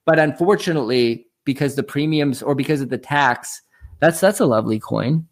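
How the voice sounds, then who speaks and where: A man's voice: mid-range voice, moderate pace. Canadian man chatting in an interview. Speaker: interview guest, online commodities show host. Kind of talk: casual conversational interview.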